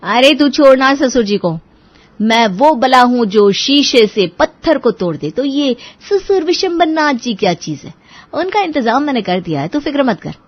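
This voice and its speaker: A woman's voice: high voice, very animated, moderate pace. A woman playing a bold, boastful young daughter-in-law in a cartoon story. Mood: cocky. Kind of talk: Hindi moral cartoon story acting. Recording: dull, band-limited track.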